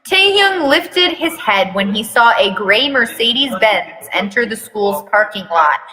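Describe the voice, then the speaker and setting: A woman reads a story aloud in a slow, dramatic way, dropping in deadpan, sarcastic remarks. Her voice is bright and forceful, and it rings with long reverb. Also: on the high side. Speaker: reader performing a fan fiction aloud. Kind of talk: dramatic reading with mocking asides.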